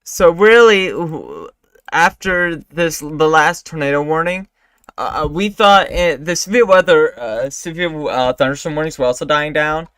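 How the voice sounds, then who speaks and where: Bright, clear-voiced man hosting a weather stream. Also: fairly high pitch, expressive, no echo. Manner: halting, many fillers and restarts. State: recovering from losing his voice. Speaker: weather livestream host. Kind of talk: casual live forecast discussion.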